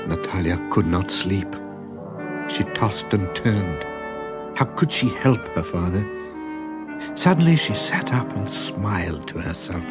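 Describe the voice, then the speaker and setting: A man reads a children's story at a slow, deliberate pace. His intonation rises and falls widely, with dramatic swells and hushes. Music plays clearly behind his voice. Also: low-pitched, animated. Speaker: storybook narrator. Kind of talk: scripted children's story narration.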